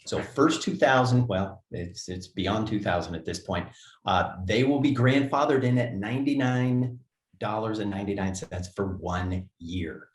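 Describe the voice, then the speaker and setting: A man's voice: fairly low pitch, a little slow. A man co-hosting a company livestream in a casual, upbeat way, reading names from the chat and laughing often. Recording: echoey room.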